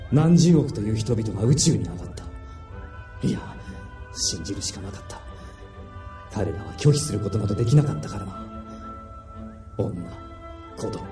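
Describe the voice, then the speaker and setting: A man's voice: on the low side, warm tone, reverberant, natural voice. Guy playing scornful military villain, taunting, swings up and down a lot, sci-fi audio drama, bit distant. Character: ruthless sneering male military officer, mech pilot villain.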